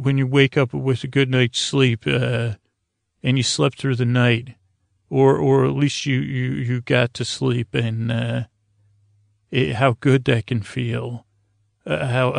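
A man with a creaky voice hosting a sleep podcast, talking in a meandering, halting, gently goofy and lighthearted way. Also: mid-range voice, little pitch movement, dry recording, studio quality. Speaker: podcast host. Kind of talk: rambling, informal sleep podcast monologue.

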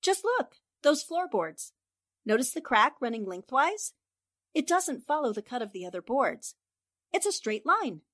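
A woman acting a sharp, confident young newspaperwoman in a 1940s-style radio drama, crisp and assured as she reasons things out. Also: fairly high pitch, exaggerated voice. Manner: crisp, assured, explaining deductions.

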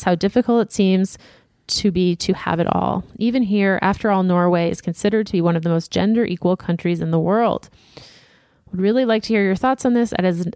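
A woman co-hosting a podcast, reading listener emails aloud in a steady, plain voice. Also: medium pitch, no echo, studio quality. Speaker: podcast co-host.

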